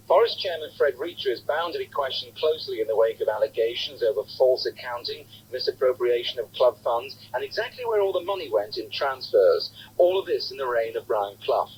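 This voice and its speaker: A man files a scripted sports report for radio. His voice sits at an average pitch with animated rises and falls, over a faintly noisy old broadcast. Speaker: sports correspondent.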